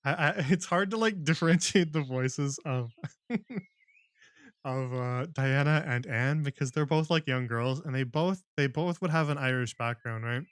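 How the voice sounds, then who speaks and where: Man, mid-pitched, book club streamer, chatty and giggly, dry close desk mic. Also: moderate pace, smooth, dry recording. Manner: chatty, laughs often between thoughts. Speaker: livestream book club reader. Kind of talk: casual chat with viewers, then reading a novel aloud.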